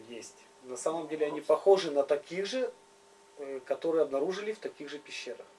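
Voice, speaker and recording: A man with a dark, relaxed, slightly high voice interprets in an interview. He goes phrase by phrase, haltingly. There is faint noise around him. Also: distant mic. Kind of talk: informal spoken interpreting and interviewing.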